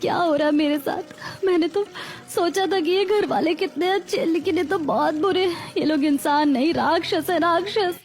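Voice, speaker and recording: Woman acting a meek, high-voiced young bride. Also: moderate pace, few pauses, a little mellow. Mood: despairing. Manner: pleading, submissive. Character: meek, tormented young daughter-in-law, pleading and fearful. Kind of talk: dramatized moral story.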